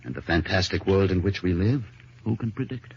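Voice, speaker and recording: An actor playing a controlled, resigned middle-aged husband in a measured, formal, theatrical old-time radio drama style. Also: male voice, sits low, animated. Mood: coldly calm. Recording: dull, noisy old radio recording.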